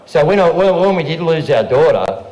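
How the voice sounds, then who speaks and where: A man's voice: fairly high pitch, very animated, normal speed, darkish tone. An Australian man speaking casually on a live stream, rambling and often checking in with "okay?" and "right?" as he talks into a handheld microphone. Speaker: regular guest, amateur songwriter. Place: live venue room. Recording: roomy live room with guitar.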